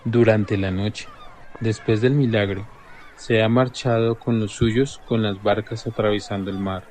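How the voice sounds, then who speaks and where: A disciple, played by a man in a radio drama, reporting in a matter-of-fact way with a low voice. A faint hiss sits behind his lines. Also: steady tone, a little slow, darkish tone, lightly acted. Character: male disciple answering the crowd.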